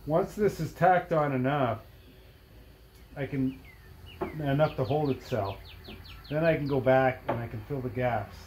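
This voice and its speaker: A middle-aged man giving a casual hands-on DIY demonstration, halting and thinking aloud as he works. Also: mid-range voice, darkish tone, a little hiss or hum. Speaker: DIY video maker. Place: cluttered workshop with dirt floor.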